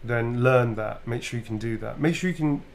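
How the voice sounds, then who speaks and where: A man's voice: medium pitch, moderate pace, creaky. Chatty British man, faint hum behind. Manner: chatty, loose and informal, full of ums and self-corrections. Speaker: voice actor answering fan questions. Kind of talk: casual live Q&A.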